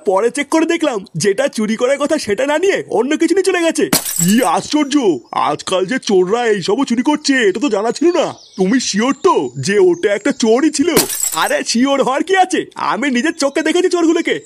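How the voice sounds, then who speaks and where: A man's voice: extremely high pitch, a little hiss or hum. A man playing an indignant, gossiping village uncle in slangy comic cartoon dialogue.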